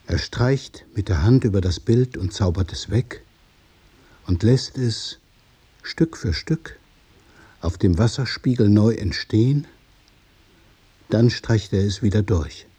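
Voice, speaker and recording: A man narrating a literary radio play in measured, observational short phrases. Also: lowish voice, dark tone, breathy edge, no room sound, heavily acted.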